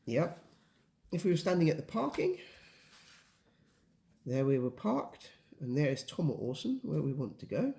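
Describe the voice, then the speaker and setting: A man's voice: on the high side, sing-song, normal speed, creaky, long pauses, mellow. Man, teaching basics. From England. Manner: patient, plain step-by-step explaining. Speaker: hiking guide explaining navigation. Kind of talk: casual instructional explanation.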